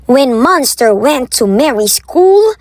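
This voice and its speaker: A woman storyteller reads a children's picture book aloud with playful theatrical flair. Her high voice swoops expressively and takes its time over each phrase. There is loud noise in the recording. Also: big pitch swings, takes it slowly. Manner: playful, theatrical, acts out the dialogue. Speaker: children's storyteller and channel host. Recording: very noisy background, clicks.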